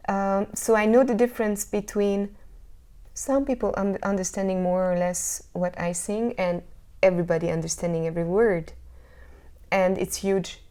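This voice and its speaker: A woman's voice: mid-range voice, little pitch movement, moderate pace, warm tone. A woman with a Hungarian accent, a singer answering interview questions thoughtfully, often restarting her sentences as she searches for words. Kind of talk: casual interview talk.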